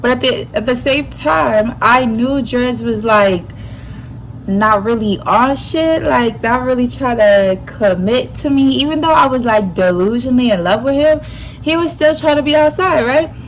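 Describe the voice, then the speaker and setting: A young woman with a slightly stuffy nose, telling a personal story in a casual, animated way full of slang. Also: on the high side, measured pace, a little hiss or hum, poor audio. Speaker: YouTuber.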